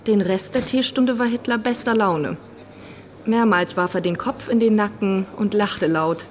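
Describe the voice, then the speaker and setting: Actress voicing female foreign correspondent, average pitch, measured, observant, first-person recollection, audio docudrama. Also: natural voice. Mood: wondering. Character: observant female English newspaper correspondent recounting what she saw.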